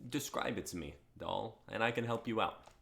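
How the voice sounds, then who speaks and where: A man's voice: fairly low pitch, moderate pace, vocal fry, fairly bright tone. A man playing a sleazy, suave rival wish-granting spirit, flirting like a salesman in a comedy reading. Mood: smooth, flirty.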